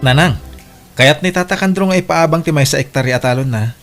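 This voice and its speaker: A man's voice: fairly high pitch, brisk, fairly bright tone, faint music behind. A man playing a hardworking single father speaking respectfully as a dutiful son in an Ilocano radio drama. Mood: hopeful, determined.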